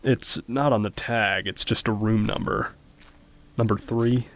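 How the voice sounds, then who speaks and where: A man playing a dutiful, deferential junior police officer, reporting in a measured way in a horror audio drama. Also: lowish voice, steady tone. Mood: matter-of-fact.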